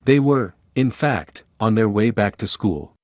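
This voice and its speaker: A man's voice: lowish voice, expressive, unhurried, no room sound, clean studio sound. A man reading scripted storybook narration as the narrator.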